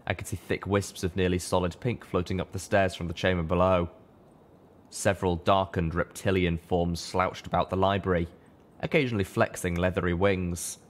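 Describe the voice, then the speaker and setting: A man's voice: sits low, little pitch movement, moderate pace. A man reading a scripted first-person fantasy story aloud as narrator. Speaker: audiobook reader.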